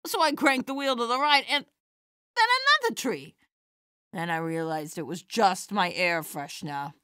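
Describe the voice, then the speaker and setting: A woman playing an elderly, kindly widowed stand-up comedienne in an audio drama, chatty and animated. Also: lowish voice, normal speed, clean tone, no room sound, studio quality. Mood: playful, performing.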